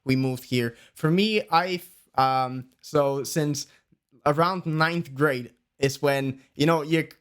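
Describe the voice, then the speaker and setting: Podcast guest: male, early twenties, Bulgarian accent, lighter-pitched voice, chatty explanatory delivery. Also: slow, a bit bright, smooth. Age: early 20s. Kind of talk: casual conversational interview.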